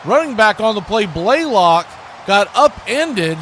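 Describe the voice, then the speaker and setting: A man with a high, bright voice calls live football for a stream. He moves between play-by-play and casual chat with folksy turns of phrase. There is some noise behind his voice. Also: big pitch swings, a little slow.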